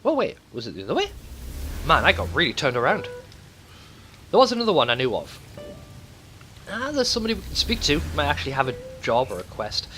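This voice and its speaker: A man's voice: fairly high pitch, huge pitch swings, a little fast, pauses often, sharp tone, soft background music. A man hosting a gaming video, talking casually and thinking aloud in a conversational let's play commentary.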